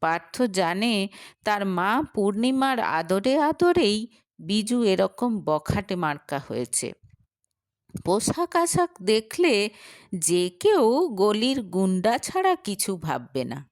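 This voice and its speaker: A woman's voice: medium pitch, sing-song, slow pace, no room sound, studio quality. A woman reading a scripted romantic short story as its narrator.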